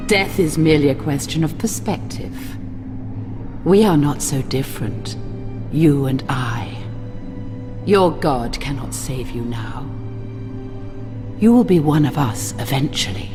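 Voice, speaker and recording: A woman playing a seductive, imperious vampire queen in a video game, cruel, mocking and theatrical, slipping into breathy whispers. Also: low voice, animated, measured pace, long pauses. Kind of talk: dramatic video game cutscene acting. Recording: loud scary music behind the voice. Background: loud ominous music.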